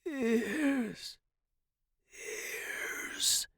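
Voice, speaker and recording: A storyteller voicing a dying old male professor in a hoarse, choked whisper, with halting broken words. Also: high-pitched, bright, forceful tone, no room sound, clean studio sound, voice pushed up, full character voice. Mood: dying gasps. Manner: halting, broken one-word gasps.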